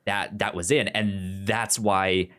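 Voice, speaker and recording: A man co-hosting a casual recap discussion, talking in a halting way and often repeating words and syllables as he goes. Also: fairly low pitch, fairly even intonation, a bit bright. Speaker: podcast co-host.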